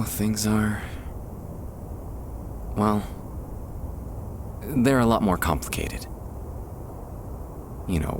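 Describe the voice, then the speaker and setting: A man playing a nervous, tender young man, a childhood best friend, in an intimate ASMR roleplay, speaking haltingly with pauses over soft outdoor ambience. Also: lowish voice. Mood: wistful. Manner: hesitant, halting, trailing pauses. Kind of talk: ASMR boyfriend roleplay, intimate acted monologue. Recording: faint background ambience noise. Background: soft outdoor park ambience.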